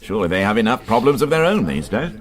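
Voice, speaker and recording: An actor playing a dry, worldly, sardonic police inspector in a radio crime drama, wry and urbane. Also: male voice, lowish voice, very animated, fast. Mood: amused, sardonic. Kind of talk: naturalistic radio drama dialogue.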